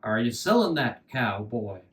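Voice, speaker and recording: An old wandering fiddler from a fairy tale, as a male storyteller plays him, kindly but mysterious. He speaks slowly and with measured weight, like a keeper of riddles. The voice sits some distance from the microphone. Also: medium pitch, big pitch swings, pitched below the performer's own voice. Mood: measured, cryptic. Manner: measured, cryptic, addresses the boy as son.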